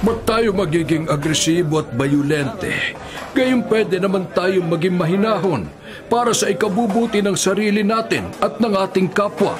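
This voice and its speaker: A man acting in a Filipino radio drama as a gentle, God-fearing older prisoner who sermonizes earnestly as a peacemaker. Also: high-pitched, moderate pace, a crowd in the background, close to an everyday voice. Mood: earnest, preaching.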